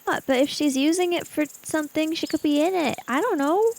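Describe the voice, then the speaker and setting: A woman's voice: high voice, a little fast, vocal fry, darkish tone, slight background noise. A woman playing a tabletop roleplaying game, chatting casually, often as her character.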